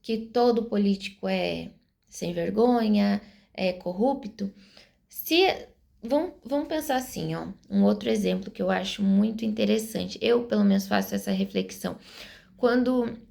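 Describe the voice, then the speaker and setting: A woman's voice: mid-range voice, lively intonation, a little slow, gravelly, mellow. A Brazilian woman in her forties giving her opinions to camera in an earnest, explanatory way, thinking aloud as she talks. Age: 40s. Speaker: vlogger.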